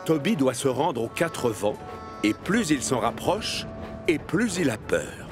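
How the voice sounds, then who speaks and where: Low-pitched male children's story narrator. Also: big pitch swings, slow pace, over music. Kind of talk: scripted children's cartoon narration.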